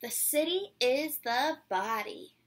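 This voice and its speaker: A young woman with a high, slightly husky voice reads a children's picture book aloud. She takes her time, shaping phrases playfully and with drama. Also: expressive, takes it slowly. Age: 20s. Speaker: children's read-aloud presenter. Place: small dim indoor room at home.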